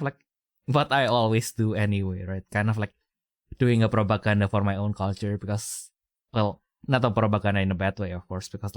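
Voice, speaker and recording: Soft-spoken young man, dry close sound. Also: fairly low pitch, dry recording. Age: young adult. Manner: rambling, many fillers, laughs often. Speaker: podcast co-host. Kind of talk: casual chat.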